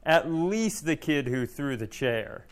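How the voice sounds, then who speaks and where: A man with a fairly high, animated voice trades casual jokes as a co-host. He is quippy and swears freely, and crowd sound is clearly heard behind him. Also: slow. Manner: profane, quippy. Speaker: podcast co-host. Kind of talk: casual comic banter.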